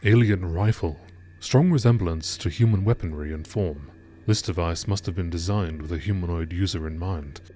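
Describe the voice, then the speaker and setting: Low-voiced man narrating over background noise. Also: moderate pace, dark tone. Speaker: narrator of game lore. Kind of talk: scripted documentary-style narration.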